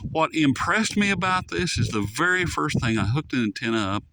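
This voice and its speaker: A man's voice: fairly high pitch, very animated, moderate pace, gravelly, noisy background. A man casually reviewing a shortwave radio in a folksy, rambling way that drifts off topic. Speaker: radio reviewer, channel host. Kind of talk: casual, unscripted product review.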